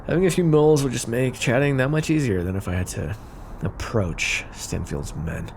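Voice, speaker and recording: A man's voice: mid-range voice, normal speed, slight background noise, lightly acted. A man playing a smug, flirty, scheming mafia boss in an ASMR roleplay, teasing and theatrical. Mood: persuasive, confiding. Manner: teasing, smooth-talking, theatrical. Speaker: voice actor in audio roleplay. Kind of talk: ASMR roleplay acting, addressed to listener.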